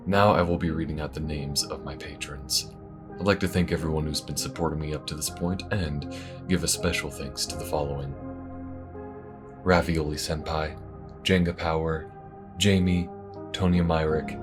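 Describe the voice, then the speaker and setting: A man with an extremely low voice reads a scripted outro. He is a voice actor giving credits and thanks for his audio roleplay, with music faintly behind him.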